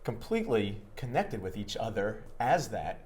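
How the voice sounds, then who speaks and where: A man co-hosting a talk show, calmly reflecting on spiritual ideas and affirming his guest. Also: on the low side, normal speed. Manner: calm, thoughtful, with short affirming replies. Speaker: co-host.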